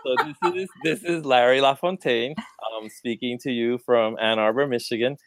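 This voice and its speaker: A man's voice: mid-range voice, normal speed, no echo. A man from Puerto Rico, a professor and podcast guest, talking in long reflective sentences with small self-corrections. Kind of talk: casual academic talk.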